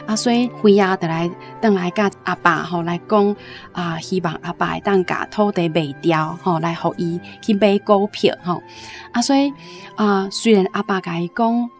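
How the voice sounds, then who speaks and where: Taiwanese woman explaining a book, slightly low voice, particles after phrases, soft music behind. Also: fairly even intonation, moderate pace. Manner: frequent discourse particles at phrase ends. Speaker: book commentator. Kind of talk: informal explanatory book commentary. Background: music under the voice.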